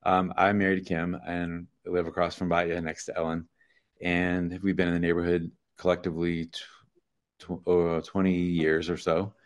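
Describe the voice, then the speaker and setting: A man who lives in the neighborhood, introducing himself on a call in a calm, measured way. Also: sits low. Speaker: neighborhood resident.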